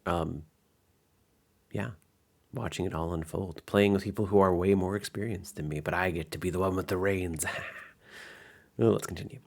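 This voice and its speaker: A man's voice: low voice, fairly even intonation, normal speed, vocal fry, long pauses. A man working as game master, narrating and commenting casually in an informal, self-deprecating way.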